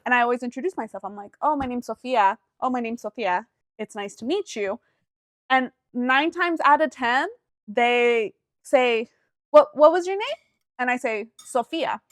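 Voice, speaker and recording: A woman's voice: on the high side, normal speed, no room sound. Chatty young woman, dry close sound. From Texas. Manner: animated, chatty, jumps in often. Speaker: podcast co-host. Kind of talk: casual chat.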